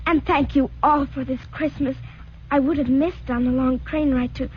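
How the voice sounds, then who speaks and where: A woman's voice: high-pitched, fairly even intonation, normal speed, a little hiss or hum, natural voice. An actress playing a grateful little immigrant girl who speaks earnestly and a bit formally, in a 1940s radio drama. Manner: earnest, slightly formal speech. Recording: dull old radio broadcast.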